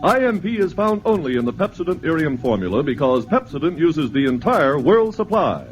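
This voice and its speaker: A man's voice: a little high, sing-song, brisk. A male radio announcer delivering a scripted advertisement in 1950s style. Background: music.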